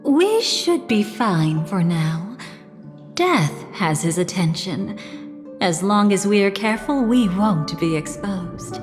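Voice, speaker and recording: An actress playing a composed, cunning, protective young woman with playful menace in a video game story, speaking in a measured, knowing way. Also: medium pitch, lively intonation. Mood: scheming. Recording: long reverb, music behind.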